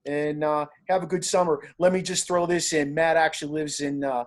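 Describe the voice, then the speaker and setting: A man's voice: on the high side, little pitch movement, normal speed. An American man hosting a sports podcast, speaking in a casual interview style.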